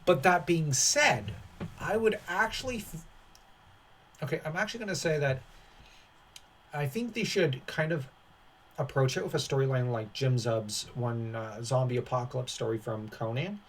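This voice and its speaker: A man's voice: mid-range voice, moderate pace, pauses often, slight background noise. Casual man giving offhand opinion commentary. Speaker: commentary channel host.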